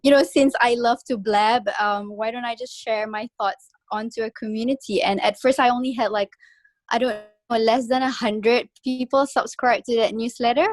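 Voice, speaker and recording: A woman's voice: fairly high pitch, fairly even intonation, moderate pace, dry recording. A woman in her thirties from Singapore, chatting casually on a video call, laughing often and full of fillers. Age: 30s. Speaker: guest newsletter writer.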